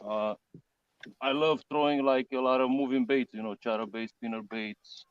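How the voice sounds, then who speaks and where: A man talks fishing in a halting, playful way, with plenty of fillers. His voice is mid-pitched. The audio is dull and lo-fi, with a dry sound. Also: steady tone, smooth, no echo. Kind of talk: casual fishing chat.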